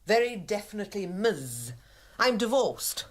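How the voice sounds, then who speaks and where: A woman's voice: medium pitch, sing-song, a little slow, a little hiss or hum. A woman playing a haughty, easily offended middle-aged mother in a radio comedy, prim, pompous and emphatic. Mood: tart. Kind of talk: radio comedy play acting.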